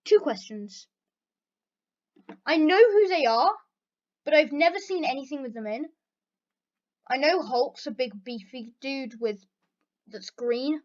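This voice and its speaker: A woman's voice: high-pitched, lively intonation, unhurried, long pauses, a little mellow. A British teenage girl chatting casually through a question-and-answer vlog, rambling with plenty of fillers. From Britain. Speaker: channel host. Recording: dull lo-fi recording.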